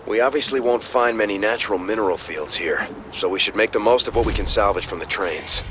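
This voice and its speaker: An actor playing a dutiful second-in-command officer in a video game, formal and measured as if giving a briefing. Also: male voice, fairly low pitch, a bit bright, a little hiss or hum, lightly acted. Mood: businesslike.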